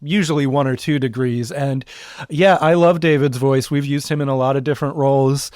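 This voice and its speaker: A man, a podcast co-creator, chatting in a relaxed, reflective way with frequent fillers as he introduces an episode. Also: mid-range voice, fairly quick, no echo, studio quality. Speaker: podcast co-creator and sound designer. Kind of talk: casual podcast intro chat.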